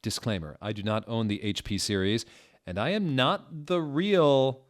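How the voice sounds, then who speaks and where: A man giving a theatrical, mock-dramatic reading of a story, mostly deadpan with sudden loud outbursts. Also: medium pitch, unhurried. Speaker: dramatic reader.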